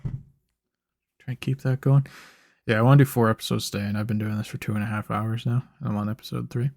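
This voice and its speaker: A young man giving laid-back gameplay commentary in a flat, monotone ramble, his voice a bit hoarse and rough. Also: fairly quick, no room sound, clean studio sound. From Canada. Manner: monotone, low-key rambling. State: slightly hoarse. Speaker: gaming YouTuber. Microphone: desk microphone.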